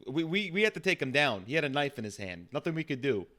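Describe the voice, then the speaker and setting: A man's voice: on the high side, very animated, fast, sharp tone, pitched above the performer's own voice. A man voicing a stern, aggressive, fed-up police officer in an improvised roleplay, barking and repeating himself. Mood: agitated, blunt.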